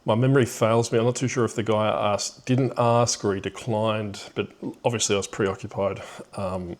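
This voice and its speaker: A man's voice: on the low side, fairly even intonation, normal speed. A middle-aged man telling a personal story off the cuff in a reflective, hesitant way, with rueful chuckles here and there.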